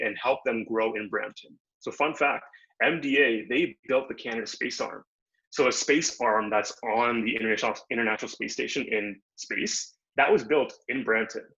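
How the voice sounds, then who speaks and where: A man's voice: medium pitch, moderate pace, sharp tone. A young man from Bangladesh giving a casual, upbeat online workshop talk over a video call, full of slang and fillers. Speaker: workshop presenter. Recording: dull lo-fi video call audio.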